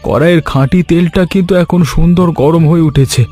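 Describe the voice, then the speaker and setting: A man voicing an earnest, caring village husband and farmer in a Bengali cartoon story, with a sentimental, measured delivery. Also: a little high, mellow, soft background music, natural voice. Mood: careful, instructing. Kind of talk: Bengali animated moral story dialogue.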